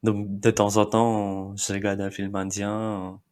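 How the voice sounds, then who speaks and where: Guest: thirties, India-born man, Marathi native, lowish voice, hesitant and laughing easily. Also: completely flat delivery, slow, darkish tone. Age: 30s. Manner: hesitant, many fillers, frequent short laughs. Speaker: podcast guest. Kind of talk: casual interview chat.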